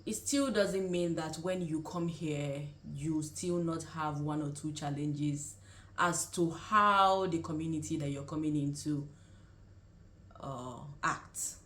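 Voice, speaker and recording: A young woman with a Nigerian accent speaks in a low-pitched voice. She talks in a casual, conversational vlog style, offering advice and rephrasing her points as she goes. The sound is echoey, as if recorded in a bare room. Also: steady tone, takes it slowly, fairly bright tone. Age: young adult. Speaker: vlogger and nursing student. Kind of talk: casual direct-to-camera advice chat. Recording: roomy, echoing sound.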